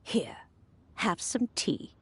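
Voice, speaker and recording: A woman voicing a gentle, wise elderly immortal in a video game, speaking kindly and with polite formality. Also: drawn-out words. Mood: kindly, serene.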